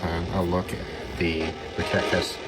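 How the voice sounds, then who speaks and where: A man giving an unscripted hands-on gadget demonstration, thinking aloud in halting short phrases. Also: deep voice, level tone. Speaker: radio hobbyist demonstrating receivers. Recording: loud radio static and hiss. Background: shortwave radio noise and tuning static.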